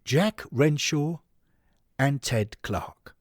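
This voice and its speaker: A man reading scripted story narration in a measured, deliberate way. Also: medium pitch, expressive, no room sound, studio quality.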